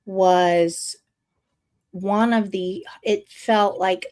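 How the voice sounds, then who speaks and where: A woman's voice: mid-range voice, steady tone, drawn-out words, darkish tone. A woman of about fifty hosting a reflective personal conversation, speaking earnestly and repeating phrases for emphasis. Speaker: podcast host and interviewer.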